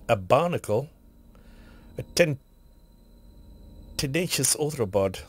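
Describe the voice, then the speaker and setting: A man with a husky, hoarse voice from a cough, rambling casually while streaming a game and reading item text aloud. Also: mid-range voice, lively intonation, drawn-out words, pauses often.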